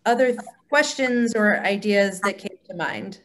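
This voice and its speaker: A woman's voice: mid-range voice, expressive, a little slow, slight background noise. A woman facilitating a conversation on a video call, encouraging and feeling her way through her phrasing. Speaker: cantor, discussion leader.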